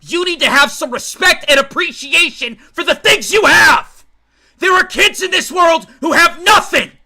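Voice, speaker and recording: A man's voice: very high-pitched, animated, moderate pace, bright, forceful tone, pitched above the performer's own voice, exaggerated voice. A man voicing an enraged, abusive father who screams furiously in a story. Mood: raging.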